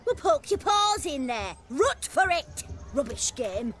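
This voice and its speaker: A high female voice that swoops dramatically as a brash, peeved village woman in a video game. She nags and orders in an earthy, scolding way. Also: big pitch swings, takes it slowly, heavily acted. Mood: bossy, scolding. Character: bossy, peeved rustic wedding guest, brash and nagging. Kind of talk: video game cutscene acting.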